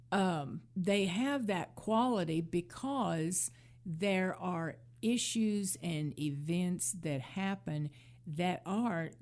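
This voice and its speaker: A woman co-hosting a casual commentary on classic TV comedy, speaking in a measured, agreeable manner. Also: on the low side, gravelly, darkish tone. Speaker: podcast co-host.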